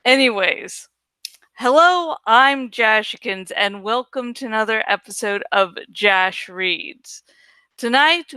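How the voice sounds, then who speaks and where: A woman's voice: a little high, pushed and bright, clean tone, no room sound. A woman chatting casually and informally as host of a live book-reading show. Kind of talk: casual live intro chat.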